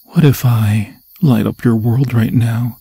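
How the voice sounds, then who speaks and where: A man voicing an obsessed undead forest demon in an ASMR fantasy roleplay, seductive and menacing, with a husky, breathy voice that often drops to a whisper and slow, theatrical, intimate phrasing. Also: mid-range voice, steady tone, mellow, no echo, exaggerated voice. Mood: mildly insulted. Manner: slow, theatrical, intimate, crooning endearments in archaic formal phrasing.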